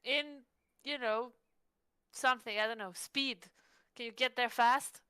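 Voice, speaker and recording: Bright, high-voiced woman at a game table. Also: steady tone, measured pace, clear, resonant tone, no echo. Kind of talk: casual table talk, asking questions.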